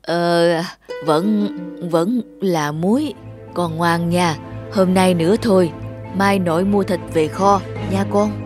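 A man's voice: high voice, steady tone, slow pace. An actor playing a kindly, reassuring old grandfather in a cartoon dub. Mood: tender, weary. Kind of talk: cartoon dubbing. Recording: music behind the voice.

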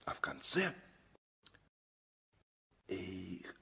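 A man's voice: low voice, sing-song, very slow, gravelly, sharp tone, breathy edge, full character voice. A man playing a hearty, folksy sailor in a 1960s Soviet radio play, musing and emphatic. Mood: confiding. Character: hearty, confiding Baltic sailor, devoted to revolution.

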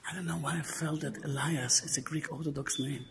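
A man's voice: on the high side, fairly even intonation, brisk, creaky, crowd noise. A man working as a tour guide and vlogger, chatting casually from behind the camera on a handheld phone in a large stone church. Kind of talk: casual chat while filming. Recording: phone recording with loud noise.

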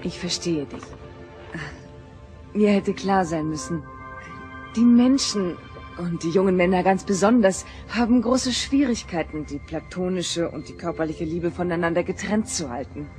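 A woman's voice: fairly low pitch, normal speed, lightly acted. An actress playing a sincere, composed young female starship officer in a radio drama. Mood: earnest. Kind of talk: German radio play acting. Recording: loud music behind radio drama.

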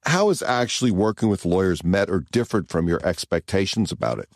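A man who practices criminal defense law, hosting a podcast and asking guests questions in a measured, plain way. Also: sits low, animated, vocal fry, dry recording, clean studio sound. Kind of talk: interview questions.

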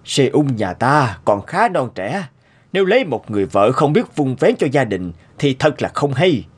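A man's voice: medium pitch, sing-song, moderate pace, slightly rough. An actor voicing a stern, exasperated middle-aged noble father in a cartoon, with an emphatic, commanding manner. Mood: grave, deliberate. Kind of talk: Vietnamese cartoon dubbing.

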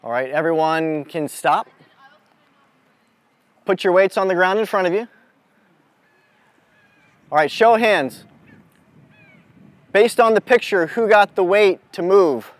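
Higher-pitched man coaching a group outdoors. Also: expressive, no room sound. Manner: deliberate, instructive, asks the group questions. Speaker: mental toughness coach leading a youth clinic. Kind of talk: motivational lesson to a group. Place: outdoors on an amphitheater lawn.

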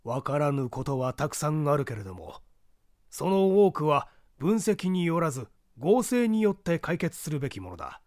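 Mystery audiobook professor, male reader in role: didactic, declamatory, very animated intonation, slightly raised pitch. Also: moderate pace, no room sound, clean studio sound. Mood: emphatic, assertive. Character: opinionated male professor of forensic medicine, lecturing, emphatic. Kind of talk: dramatic audiobook reading of mystery fiction.

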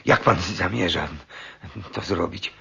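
A man's voice: low-pitched, slow pace, gravelly, sharp tone, slightly rough, highs cut off, heavily acted. A man acting in a radio drama as a timid husband drawn into crime, hesitant and deferential, stammering and repeating his words. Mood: uneasy.

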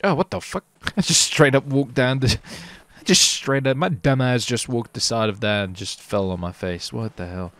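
A man's voice: mid-range voice, moderate pace, few pauses, a bit bright. A man commentating casually on his gameplay as a streamer, with slangy, sweary talk. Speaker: streamer hosting a gaming video.

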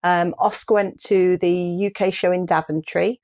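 A woman's voice: fairly low pitch, steady tone, moderate pace, a little mellow. A woman in her fifties with a British accent, chatting casually as a co-host. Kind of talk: casual chat. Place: small furnished room, indoors.

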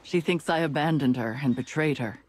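A man's voice: a little high, normal speed, lightly acted. An actor playing a guilt-ridden but resolute young male adventurer in a video game. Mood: regretful. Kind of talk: video game dialogue acting.

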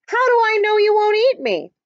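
Woman putting on a high, boastful little cheese man. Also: big pitch swings, moderate pace, no echo, dull audio, full character voice. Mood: worried. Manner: sing-song taunting chant.

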